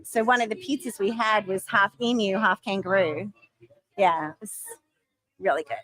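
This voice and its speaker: A woman's voice: medium pitch, fairly even intonation, normal speed, fairly bright tone, low-quality recording. A woman with a faded Australian accent in casual chat, laughing often and answering with lots of agreeing sounds.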